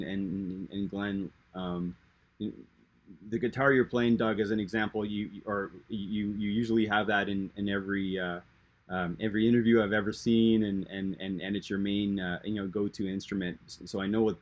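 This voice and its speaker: A man with a fairly low voice hosts an interview, winding into his questions with lots of ums, you-knows and restarts. He sounds casual and chatty. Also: highs cut off. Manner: rambling, stumbling questions full of fillers and restarts. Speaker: interview host.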